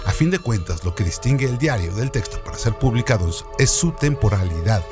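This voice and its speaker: A man's voice: lowish voice, lively intonation, fairly quick, music in the background. A man presenting scripted educational literary narration in a didactic way, framing facts as rhetorical questions.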